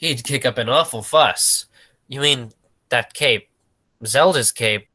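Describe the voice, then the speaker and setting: Man playing a sharp-voiced circus boss. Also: mid-range voice, takes it slowly. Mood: matter-of-fact. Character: businesslike, callous circus boss worried about takings. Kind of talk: 1940s radio play acting.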